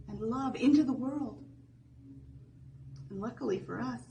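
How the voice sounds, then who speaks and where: Slow-paced woman preaching, recorded from a distance. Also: on the high side. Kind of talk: sermon, conversational reflection and scripture reading. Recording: distant microphone, faint background noise.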